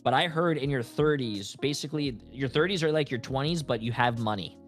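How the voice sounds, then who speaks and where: A man's voice: medium pitch, fairly quick, soft background music. A man in his early thirties chatting casually about gaming, loose and slangy, with frequent swearing. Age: early 30s. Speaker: guest player ranking game characters.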